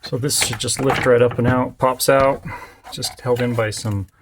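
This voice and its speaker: A man's voice: mid-range voice, fairly even intonation, normal speed. A man giving a casual, step-by-step installation tutorial in a plain-spoken, folksy way. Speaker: installer presenting a how-to. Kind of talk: casual hands-on tutorial narration. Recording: clear background noise, occasional clicks.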